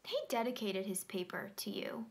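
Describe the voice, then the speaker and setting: An actress playing a guarded, probing woman in a stage drama, with measured delivery. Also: medium pitch, natural voice. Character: guarded, probing woman hiding a dark secret.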